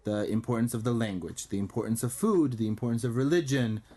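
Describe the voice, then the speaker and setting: A man whose first language is Armenian, a guest on a talk show, explaining his diplomatic work in a fluent, steady manner with frequent ums and uhs. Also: medium pitch, fast, husky. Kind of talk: casual interview talk.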